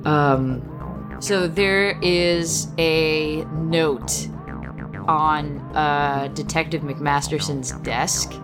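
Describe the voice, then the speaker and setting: A woman in casual, sweary, expressive banter as a tabletop roleplay player. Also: low voice, extremely slow, over music.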